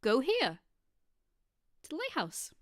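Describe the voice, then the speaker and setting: Girl streamer doing a read-along, high lively voice, playful n chatty, excitable. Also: slow pace, no echo, clean studio sound. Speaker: streamer reading a fan story aloud. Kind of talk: livestream dramatic reading with chatty reactions.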